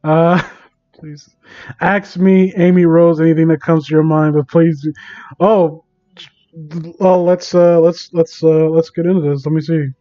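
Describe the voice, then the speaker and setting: A man doing a casual livestream reading and commentary, exasperated, sarcastic and sweary, with a halting delivery. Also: fairly high pitch, steady tone, lo-fi sound. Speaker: live streamer reading and mocking online fan fiction.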